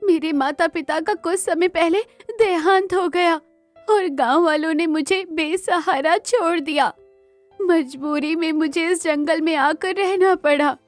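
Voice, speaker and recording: A woman's voice: very high-pitched, unhurried, no room sound, faint music behind, voice pushed up. A woman voicing a humble, grateful young orphaned villager in a cartoon folk tale, with a plaintive delivery. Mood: sad, humble. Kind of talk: dramatised cartoon storybook dialogue.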